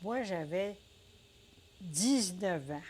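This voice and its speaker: An older woman with a Québécois accent, telling her story in a casual interview, unhurried and hesitant, full of fillers. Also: lowish voice, animated, a little mellow, a little hiss or hum. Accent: Québécois French. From Quebec. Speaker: interviewee, entrepreneur.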